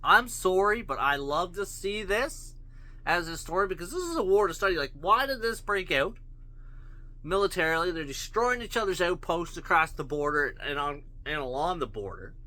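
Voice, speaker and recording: Sharp-voiced man ranting bluntly. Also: sits high, lively intonation, moderate pace, low-quality recording. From Canada. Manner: blunt, profane, rambling rhetorical questions. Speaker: vlogger. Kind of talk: opinion rant to camera.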